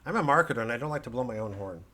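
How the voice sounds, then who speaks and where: A man's voice: medium pitch, expressive, racing, sharp tone. A male comedian casually promoting a live show in a rambling, digressive way, with a wry, mock-earnest delivery.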